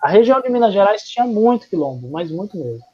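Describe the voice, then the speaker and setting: A young Brazilian man teaching a history class in a loose, chatty way, with many fillers and restarts. His voice sits a bit higher than most men's. The recording sounds dull and lo-fi. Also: very animated, fast talker, slightly rough. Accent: Brazilian Portuguese. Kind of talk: casual classroom lecture.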